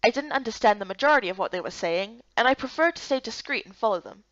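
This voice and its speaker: A woman's voice: fairly high pitch, lively intonation, fast, fairly bright tone, no echo, highs cut off. A woman reading scripted first-person narration from a visual novel. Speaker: narrator and lead character reader.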